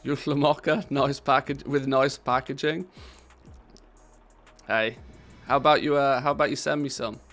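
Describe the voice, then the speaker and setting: A man's voice: mid-range voice, fairly even intonation, normal speed, a bit bright, soft background music. A British man chatting casually on a live game stream, reading out and answering viewers' questions with easy laughter. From Britain.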